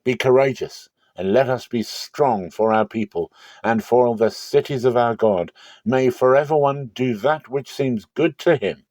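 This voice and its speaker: A man's voice: medium pitch, a little slow, a little mellow, no room sound, voice pushed up, close to an everyday voice. A man voicing a resolute army commander urging courage in a dramatic scripture reading, earnest and emphatic.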